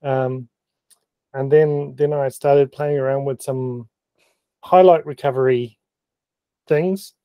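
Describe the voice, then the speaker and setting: A man with a New Zealand accent casually explaining photo-editing experiments on a video call, halting with many ums and small self-deprecating laughs. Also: medium pitch, steady tone, smooth, no echo, lo-fi sound. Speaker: meeting participant presenting photo-editing experiments.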